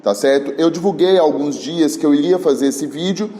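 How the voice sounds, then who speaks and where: Brazilian male teacher, slightly high voice. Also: fairly quick, mellow, long reverb tail. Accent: Brazilian Portuguese. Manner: talkative, with frequent check-in tags. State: recovering from a cold, voice a little worn. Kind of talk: exam-prep video lesson.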